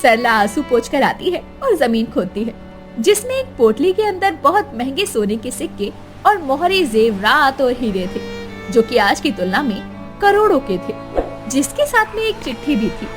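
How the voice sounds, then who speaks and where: A woman's voice: high voice, big pitch swings, normal speed, soft background music. A woman narrating a story in a dramatic, scripted storytelling style.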